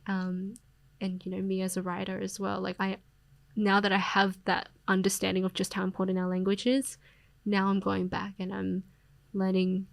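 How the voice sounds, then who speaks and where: Young Australian woman with a gravelly edge. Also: medium pitch, no echo. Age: young adult. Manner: reflective, with frequent fillers and soft laughs. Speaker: podcast guest, poet. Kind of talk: casual interview conversation.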